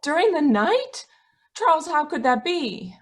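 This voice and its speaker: A woman playing a frightened, bitter middle-aged wife in an old-time radio drama, intense and pleading, with a husky voice. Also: sits high, sing-song, measured pace. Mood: alarmed. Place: indoors, live video call performance.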